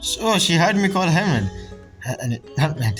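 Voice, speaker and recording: A woman's voice: low voice, very animated, measured pace. A woman streaming a video game with casual, rambling commentary and reactions. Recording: game music behind voice.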